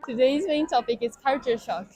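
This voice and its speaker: A woman's voice: high-pitched, normal speed, dark tone, soft background music. A woman doing street interviews outdoors, asking short questions and nodding along with constant backchannels.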